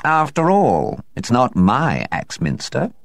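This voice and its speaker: A man voicing a coldly indifferent male house cat, speaking in a cool, disdainful and unhurried way. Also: mid-range voice, huge pitch swings, darkish tone, no room sound, voice pushed up. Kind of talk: storybook reading.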